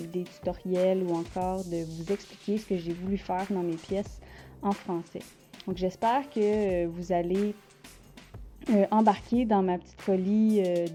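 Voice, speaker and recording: A woman with a Quebec French accent talks casually about her artwork, pausing often to find the right word. Her voice is very dark and mellow. Her pitch sits in an ordinary middle range. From Quebec, Canada. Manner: hesitant, searching for words. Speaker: art channel host. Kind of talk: casual unscripted vlog talk.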